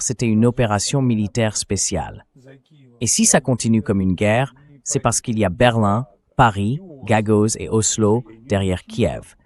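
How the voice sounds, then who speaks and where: A synthetic male voice hosting a political interview, reading a translated dub as spoken commentary. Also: lowish voice. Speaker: interview host. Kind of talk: political talk show commentary, dubbed translation.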